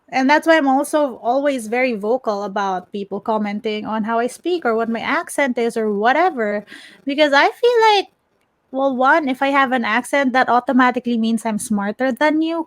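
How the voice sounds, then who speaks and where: High-voiced woman, outspoken and casual. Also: no echo. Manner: outspoken, emphatic. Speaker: podcast co-host and tech content creator. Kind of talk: casual opinionated chat.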